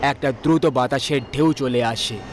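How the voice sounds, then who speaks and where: A man's voice: mid-range voice, moderate pace, soft background music. A man narrating a scripted horror story.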